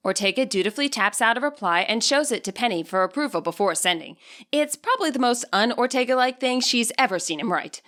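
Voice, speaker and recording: Bright, expressive woman narrating a story. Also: fairly high pitch, fast talker. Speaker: author and audiobook narrator. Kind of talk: scripted story narration, present tense.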